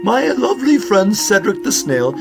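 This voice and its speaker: A man's voice: high voice, very animated, vocal fry, music in the background, voice pushed up, full character voice. A man voicing a dramatic, genteel, fretful mother owl in a children's audio drama. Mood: earnest, defensive. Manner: theatrical, fretful, drawn-out emphasis.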